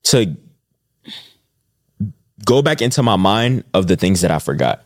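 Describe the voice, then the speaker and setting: A man's voice: sits low, big pitch swings, unhurried, no echo, clean studio sound. A young man co-hosting a casual podcast chat, joking and laid-back. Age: young adult. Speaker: co-host.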